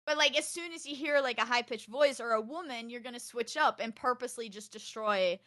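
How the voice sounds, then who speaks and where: A young woman with a high, forceful, loud voice. She talks casually as a podcast guest and content creator, in an outspoken, emphatic way with casual swearing thrown in. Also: brisk. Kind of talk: casual chat, animated anecdotes.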